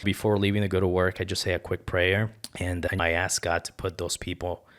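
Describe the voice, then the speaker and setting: Low-pitched man telling personal stories. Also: flat delivery, fairly quick, fairly bright tone. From born in Ecuador, moved to the United States. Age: 30s. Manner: informal, earnest, colloquial. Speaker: podcast guest. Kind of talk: casual personal storytelling.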